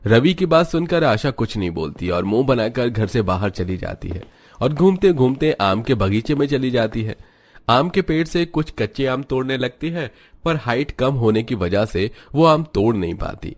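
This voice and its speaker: A man's voice: medium pitch, very animated, normal speed. A man telling a scripted moral story as its narrator.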